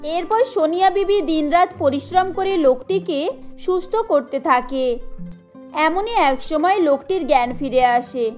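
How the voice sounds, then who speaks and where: A woman narrating a cartoon story in a steady storytelling manner. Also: high-pitched, measured pace, soft background music.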